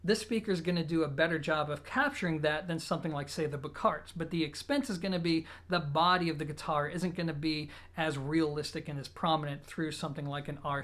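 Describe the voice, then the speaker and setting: A man speaking as a product reviewer, explaining in a steady, relaxed way. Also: on the high side. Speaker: audio equipment reviewer.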